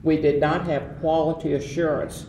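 A man's voice: fairly high pitch, flat delivery, moderate pace, dark tone. An older man with a Southern American accent, reminiscing as an interview guest and rambling with many fillers. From Southern United States. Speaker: guest, former association president. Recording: roomy, distant mic, faint noise.

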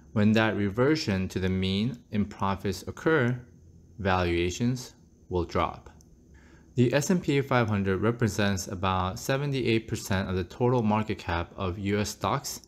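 A man reading a scripted voice-over commentary on stock market charts, steady and explanatory, sometimes asking the viewer direct questions. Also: lowish voice. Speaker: finance channel host.

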